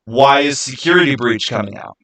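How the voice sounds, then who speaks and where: A man hosting a livestream in casual chat, speaking haltingly and putting rhetorical questions to his viewers. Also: medium pitch, animated, a bit bright, poor audio. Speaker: livestream host.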